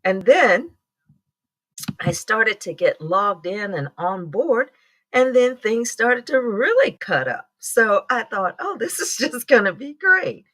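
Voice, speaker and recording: A woman's voice: a little high, sing-song, takes it slowly, a bit bright. Chatty woman hosting a morning livestream. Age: middle-aged. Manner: rambling, chatty, laughs often, greets viewers by name. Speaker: livestream host. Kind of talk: casual livestream chat.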